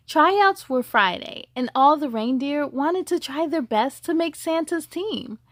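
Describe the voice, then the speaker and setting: A woman hosts a children's read-aloud in a very high, lively voice. She takes her time and addresses young listeners directly. The sound is close and dry. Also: dry recording. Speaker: story-time host.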